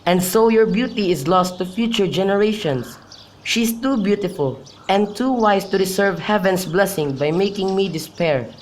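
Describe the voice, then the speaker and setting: Guy playing sad lovesick young dude in amateur radio shakespeare, voice a bit high, slight noise n birds in back. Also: normal speed, natural voice, poor audio. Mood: pining, despairing. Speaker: student actor. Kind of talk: amateur radio drama acting, modern-English Shakespeare. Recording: faint background noise with birdsong.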